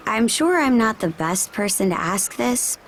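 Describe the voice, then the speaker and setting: An actress playing a firm, decisive young ship captain in a video game cutscene, with a frank, commanding manner. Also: female voice, on the high side, very animated, measured pace, slight background noise. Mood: frank, stern.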